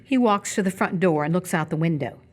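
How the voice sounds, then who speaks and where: Woman narrator for a radio play, lowish voice, measured, reading out scene directions. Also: a little mellow. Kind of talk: scripted dramatic narration, live radio theatre.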